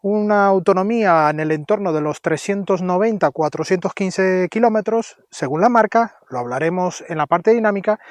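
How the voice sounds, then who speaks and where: A man's voice: sits high, no echo, clean studio sound. A man from Venezuela with a Venezuelan accent, presenting a casual car review in a chatty, explaining way. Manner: chatty, explaining details with tag questions.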